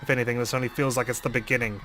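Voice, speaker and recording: A man narrates a visual novel in the first person. His delivery is theatrical and expressive, like a dramatic storyteller, with soft music playing behind him. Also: mid-range voice, rapid-fire. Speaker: let's play host reading a visual novel aloud.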